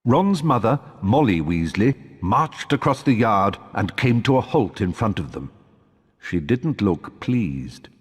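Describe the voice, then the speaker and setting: A British man playing a measured storyteller who narrates a wizard tale for a video game. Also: on the low side, sing-song, husky, in a large hall, exaggerated voice. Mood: storytelling.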